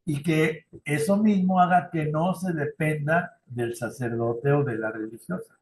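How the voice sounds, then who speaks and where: Mexican-accented middle-aged male trainer, chatty, fairly high voice, echoey room over a video call. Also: measured pace, a little mellow. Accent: Mexican Spanish. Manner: conversational, frequent tag questions and personal anecdotes. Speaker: youth ministry trainer. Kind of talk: online formation talk.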